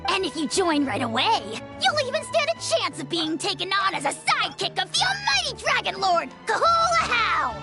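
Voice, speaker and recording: A woman's voice: very high-pitched, wildly swooping pitch, moderate pace, hardly any pauses, music in the background, exaggerated voice. A woman voicing a small, cheeky creature in a video game, boastful and grandiose in manner.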